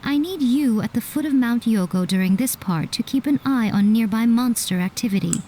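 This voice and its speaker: A woman playing a composed, playful fox shrine maiden in a video game, speaking in a poised, measured, gently teasing way. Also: fairly high pitch, expressive, slight background noise, natural voice. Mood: calm, instructive. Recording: game audio with music behind. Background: music.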